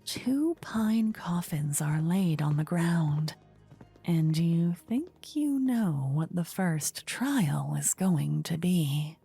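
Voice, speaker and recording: A woman narrating a scripted fantasy ASMR story in a soft, whispery voice. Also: lowish voice, animated, drawn-out words, creaky, husky, faint music behind.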